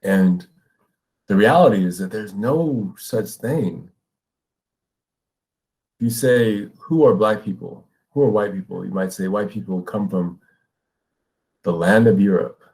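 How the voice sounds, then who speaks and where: Male educator, American, deep register, explains in lecture style with frequent check-ins to the listener. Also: unhurried, creaky, long pauses, darkish tone, poor audio. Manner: explanatory, often checks with "right?". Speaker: middle school ethnic studies teacher. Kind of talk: educational lecture with read-aloud passages.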